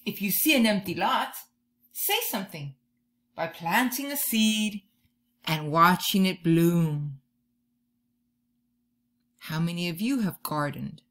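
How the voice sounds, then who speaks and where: A woman in her thirties doing an expressive children's read-aloud, drawing out key words like a teacher talking to young listeners. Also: fairly low pitch, takes it slowly, pauses often. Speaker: teacher reading a picture book to children. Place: bedroom or room at home, indoors.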